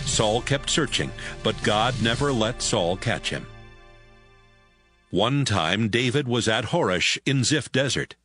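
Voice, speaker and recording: A man's voice: medium pitch, animated, takes it slowly, fairly bright tone, faint music behind. A man narrating a dramatized audio Bible as a scripted story.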